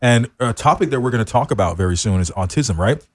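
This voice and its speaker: A man's voice: mid-range voice, animated, fast talker. A man hosting a casual studio interview, talking informally and with effusive encouragement to his guests. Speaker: talk show host. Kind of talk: casual radio interview chat.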